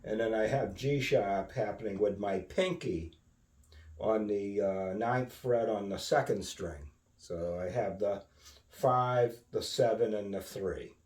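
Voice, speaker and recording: A man giving an informal guitar lesson, thinking aloud in a musing, halting way and often checking in with a questioning tag. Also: on the low side. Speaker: guitar teacher. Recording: roomy, distant mic, loud guitar. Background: acoustic or electric guitar playing.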